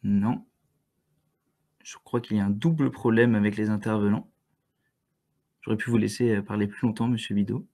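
A young man moderating an online panel over a video call, speaking in a hesitant, halting way. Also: lowish voice, steady tone, a little mellow. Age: young adult. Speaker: webinar moderator. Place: indoor room, on a video call.

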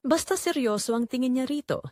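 A woman's voice: high voice, animated, fairly quick, a little mellow, dry recording, clean studio sound. A woman playing a young graduate working as a barista, telling her own story reflectively in a scripted audio drama. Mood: curious, observant. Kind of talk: first-person scripted audio drama narration.

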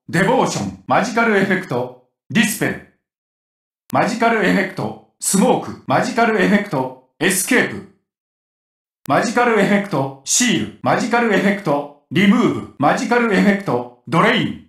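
A man playing a gruff, brash, rough-spoken warrior in a Japanese anime video game. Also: sits high, echoey room, distant mic, exaggerated voice. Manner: forceful, rough-spoken, cocky. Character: gruff, brash grown male warrior who loves cooking. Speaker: game voice actor.

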